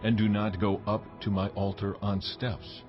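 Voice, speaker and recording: Audio Bible deity: low, grave, authoritative male actor, measured delivery, muffled sound. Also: level tone, close to an everyday voice. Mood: solemn commanding. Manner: slow, solemn, measured pronouncements. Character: God, a solemn commanding male deity. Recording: dull lo-fi audio under loud music.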